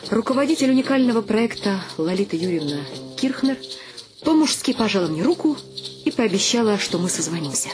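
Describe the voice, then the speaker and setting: A woman's voice: mid-range voice, animated, brisk, natural voice. An actress playing a wry, ironic woman writer, telling and acting out her story expressively in a radio play. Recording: music under the voice.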